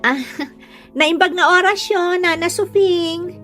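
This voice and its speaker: Woman doing sweet coy young girlfriend, high voice, polite, comedy radio drama with music behind. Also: slow, a bit bright, full character voice. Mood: cheerful.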